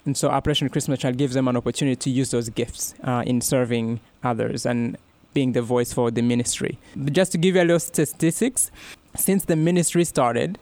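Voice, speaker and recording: A man's voice: medium pitch, steady tone, a little fast, a little mellow, no room sound. A man in his thirties giving an earnest, heartfelt personal testimony as a guest in a radio interview, with frequent restarts. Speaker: radio guest, ministry worker.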